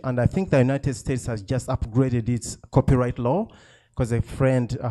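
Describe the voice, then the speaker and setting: Man, warm low-key tone, loose chatty delivery full of ums and laughs. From Uganda. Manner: chatty, self-deprecating, laughs often, many fillers. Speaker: author speaking at a book event. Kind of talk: casual talk to a live audience.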